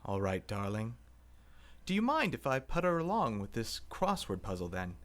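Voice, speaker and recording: A woman's voice: a bass voice, very animated, normal speed. A woman playing a kindly, mild, solicitous husband in a radio play. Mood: concerned, mild.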